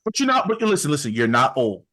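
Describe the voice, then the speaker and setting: A man's voice: fairly high pitch, sing-song, fast talker, a bit bright. A man in his forties hosting a podcast, speaking earnestly and encouragingly, often repeating his words. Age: 40s. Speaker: podcast host. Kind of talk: casual interview chat.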